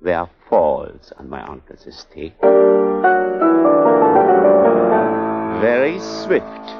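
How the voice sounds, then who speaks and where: A man's voice: low voice, huge pitch swings, a little slow, gravelly, exaggerated voice. An actor playing a sinister, power-mad man with a menacing, theatrical delivery in 1940s radio style. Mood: silky, coercive. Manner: theatrical, menacing, swinging from hushed to ranting. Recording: dull 1940s radio broadcast, music behind.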